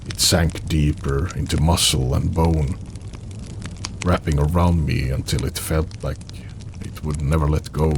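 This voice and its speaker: A man narrating an audio drama in the first person, with a somber, measured, dramatic delivery in short phrases and a gravelly voice. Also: a bass voice, steady tone, background noise. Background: background music.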